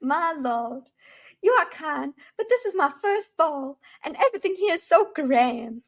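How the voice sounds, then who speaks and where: A woman's voice: high voice, very animated, normal speed, pitched above the performer's own voice. A woman playing a polite, nervous young noblewoman at her first ball in a read-aloud fantasy novel. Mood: theatrical, demure. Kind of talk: acted reading of a fantasy novel.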